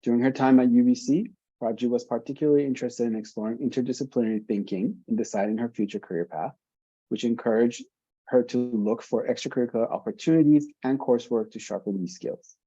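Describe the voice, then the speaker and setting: A man reads a scripted introduction at a steady pace. His voice is low, very dark and husky, and the audio sounds dry and dull, as on a video call. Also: fast, no echo. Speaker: moderator introducing guest.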